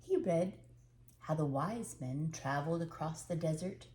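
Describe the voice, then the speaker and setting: An older woman reading a children's storybook aloud, unhurried and expressive, with dramatic pauses. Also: sits low.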